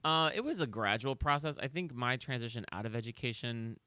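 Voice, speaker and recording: A man's voice: medium pitch, fairly quick, sharp tone, smooth, no room sound. A man from Kansas City, a podcast guest, telling his story in a chatty, wry way with self-deprecating jokes. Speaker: guest, real estate agent. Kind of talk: casual podcast chat.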